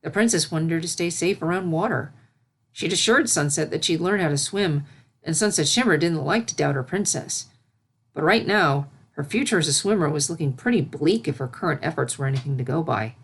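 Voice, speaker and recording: Female storyteller, lower pitch, expressive scripted fiction narration. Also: fairly quick, slightly rough.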